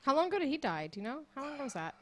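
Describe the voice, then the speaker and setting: A woman banters casually as a radio co-host. Her voice is fairly high and animated, and she talks fast.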